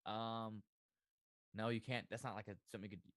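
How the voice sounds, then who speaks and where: A man's voice: fairly low pitch, little pitch movement, fairly quick, fairly bright tone. A man co-hosting a podcast, answering questions in casual banter and teasing his partner with disbelief. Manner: incredulous, teasing, swears freely.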